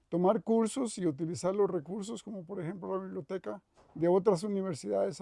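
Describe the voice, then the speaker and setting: An older man with a Costa Rican accent recalling his life in an interview, thoughtful and measured, often pausing to correct himself. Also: sits high, creaky, dark tone, no room sound. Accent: Costa Rican Spanish. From Costa Rica. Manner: thoughtful, measured, with frequent restarts and self-corrections. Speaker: retired professor interviewed. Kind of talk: reflective interview reminiscence.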